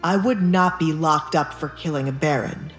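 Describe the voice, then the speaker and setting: A young nobleman acted out by a woman speaks in a low, threatening tone. He is possessive and quietly unhinged, a swordsman from an audio romance drama. Soft music runs beneath the voice. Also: normal speed, lightly acted. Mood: cold, protective.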